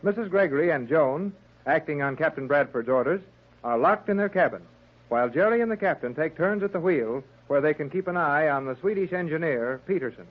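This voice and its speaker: A man's voice: a little high, expressive, a little fast. An American man narrating an old-time radio adventure serial in a scripted announcer style. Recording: tinny, thin old radio recording.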